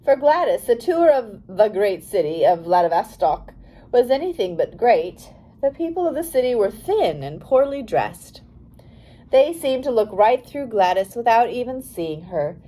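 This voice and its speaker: A woman narrating a biography for young readers as an audiobook, in a careful, expressive storytelling manner. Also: fairly high pitch, a little slow.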